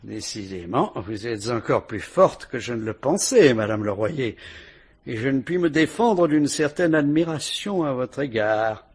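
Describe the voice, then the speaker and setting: A man playing a patient police commissioner who speaks in a measured, deliberate, politely ironic way in a vintage radio drama. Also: medium pitch, lively intonation, breathy edge.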